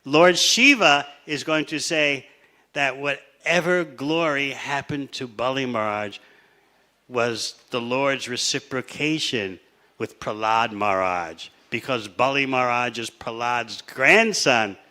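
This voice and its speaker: Bright-voiced man teaching a scripture class. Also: mid-range voice, takes it slowly. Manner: conversational, rhetorical questions, occasional laughter. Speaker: spiritual teacher.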